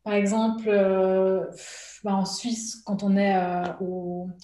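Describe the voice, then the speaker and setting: A woman from Switzerland, talking as a guest in a relaxed interview. Her speech is hesitant and full of fillers, and she laughs often as she thinks aloud. She was recorded at home in an echoey room. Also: medium pitch, takes it slowly. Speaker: guest, artist and illustrator. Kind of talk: casual conversational interview. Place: at home during lockdown.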